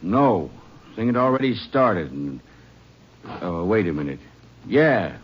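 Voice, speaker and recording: A man acting an evasive small-town crime suspect in an old radio drama, halting and insistent, with an American accent. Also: fairly low pitch, sing-song, husky, exaggerated voice. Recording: muffled vintage radio recording.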